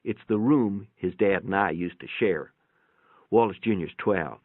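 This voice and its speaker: A man's voice: low-pitched, a little slow, no echo, phone-quality sound. A man narrating a first-person short story in a dramatic reading, with a conversational, folksy storytelling manner. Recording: thin, band-limited, radio-like sound.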